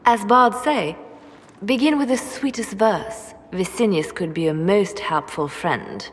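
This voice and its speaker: An actress playing a brooding, guarded spymaster in a fantasy game, speaking in a measured, cool and deliberate way. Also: female voice, mid-range voice, lightly acted. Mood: cool, calculating. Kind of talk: video game dramatic acting. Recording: long hall reverb.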